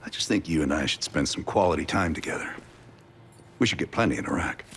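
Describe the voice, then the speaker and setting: A deep, gravel-edged American man, a seasoned covert operative in a spy game. He is dry, guarded and sardonic, and his pitch moves around freely. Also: expressive, fast talker, a little hiss or hum. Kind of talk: cinematic video game acting.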